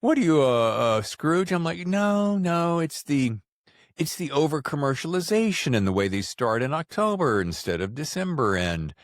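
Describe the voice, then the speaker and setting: Man, podcast host, casual interview, animated and rambling, swinging pitch, dry sound. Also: normal speed, no echo.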